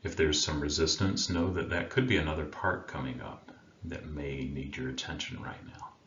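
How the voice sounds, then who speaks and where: A man leading a guided meditation as a coach, speaking in a gentle, soothing manner with long unhurried pauses. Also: extremely low pitch, fairly even intonation. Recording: roomy, dull sound with faint background noise.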